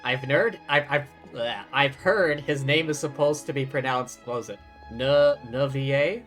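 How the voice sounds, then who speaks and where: A man's voice: mid-range voice, measured pace. Male game streamer, mid-range voice, casual, sarcastic reactions, music in the background. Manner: wry, sarcastic. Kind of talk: casual live reaction commentary on a video game.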